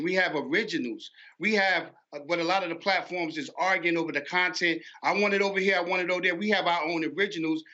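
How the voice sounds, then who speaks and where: A man's voice: fairly high pitch, sharp tone. A man speaking as an interview guest about his own venture, often repeating words and phrases, on dull lo-fi audio. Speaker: guest promoting an animation platform. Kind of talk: interview conversation.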